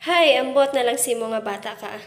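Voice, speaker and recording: Lady doing a nagging mom voice, highish, kinda fussy. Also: brisk, close to an everyday voice. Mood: scolding. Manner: scolds and warns. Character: scolding fisherman's wife, a mother.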